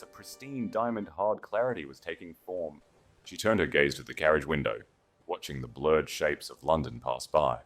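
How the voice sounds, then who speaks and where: Male audiobook narrator: low register, dramatic and solemn, measured literary delivery, highly varied intonation.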